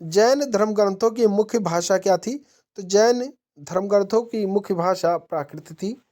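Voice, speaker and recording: A woman's voice: medium pitch, normal speed, warm tone. A woman narrating a question-and-answer quiz lesson as an exam-prep teacher, methodically posing each question and then answering it.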